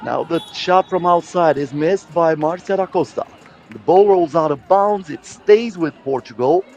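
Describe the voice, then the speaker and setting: A male sports commentator with a somewhat high-pitched voice calls a basketball game live. He follows the action play by play. The broadcast audio sounds a little dull and muffled. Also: normal speed, darkish tone.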